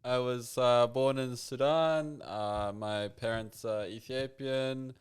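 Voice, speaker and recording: A man's voice: medium pitch, fairly even intonation, slow pace, clear, resonant tone. A young man who grew up in New Zealand, a comedian guest on a casual podcast, joking and teasing and laughing often.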